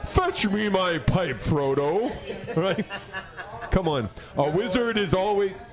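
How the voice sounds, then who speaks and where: A man's voice: sits high, very animated, slow pace. An American man hosting a podcast in casual, joking banter, rambling and argumentative as he teases his company. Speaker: podcast host, bartender. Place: restaurant bar.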